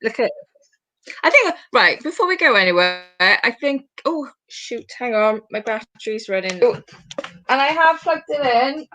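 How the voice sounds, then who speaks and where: Bright-voiced chatty British woman host. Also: fairly high pitch, sing-song, low-quality recording. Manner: chatty, rambling, with quick asides. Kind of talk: casual chat. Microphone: video call.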